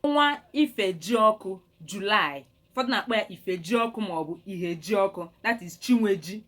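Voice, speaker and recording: A woman with a Nigerian Igbo accent, a native Igbo speaker, teaching a language lesson deliberately and repeating terms for learners. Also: mid-range voice, expressive, a bit bright, faint music behind. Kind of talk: instructional lesson with repeated vocabulary.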